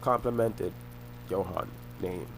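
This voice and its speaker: Young man, lowish voice, halting rambling monologue with restarts, faint hum behind. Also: vocal fry, poor audio. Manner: halting, repeats and restarts phrases. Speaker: vlogger.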